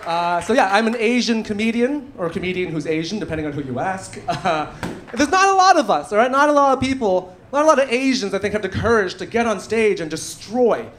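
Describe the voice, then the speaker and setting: A man's voice: high voice, sing-song, a little fast, fairly bright tone. A man in his thirties from Canada doing a stand-up set into a handheld mic on a theatre stage, punchy with dry asides. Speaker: stand-up comedian. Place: theatre stage before a live audience. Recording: live club recording with audience noise. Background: audience laughter.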